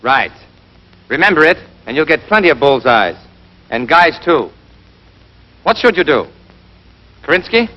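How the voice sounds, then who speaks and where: A man playing a brisk, exacting American army rifle instructor in a scripted 1940s training film, drilling and quizzing with emphasis. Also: fairly high pitch, very animated. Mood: brisk, instructive. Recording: dull band-limited 1940s film soundtrack.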